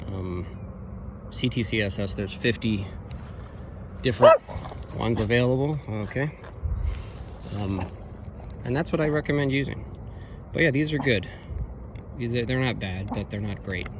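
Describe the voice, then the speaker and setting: A man giving an informal, unscripted technical review, explaining things in a halting way. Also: mid-range voice, expressive, background noise. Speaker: radio equipment reviewer.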